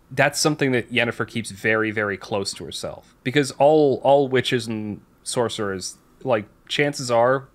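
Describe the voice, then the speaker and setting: A man speaks as a podcast host in an easy conversational style, with a middling pitch. He is candid and rambling, often breaking into his own sentences to rephrase them. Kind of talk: casual podcast chat.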